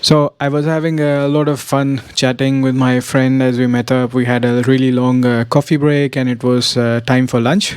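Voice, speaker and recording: A young man narrating his travel vlog as a casual voiceover, relaxed and rambling with fillers. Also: mid-range voice, clean tone, no room sound. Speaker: travel vlogger.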